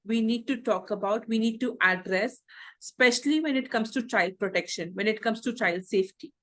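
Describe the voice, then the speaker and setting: A woman interviewing a guest, asking long, searching questions with frequent pauses and restarts. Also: fairly high pitch, a bit bright. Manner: hesitant, many fillers and restarts.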